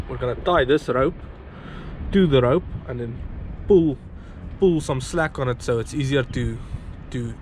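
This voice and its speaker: Rambling bearded sailing vlogger man. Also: medium pitch. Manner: rambling, relaxed, with many fillers. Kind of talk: casual vlog narration. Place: outdoors on a boat in a marina. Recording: outdoor noise behind the voice.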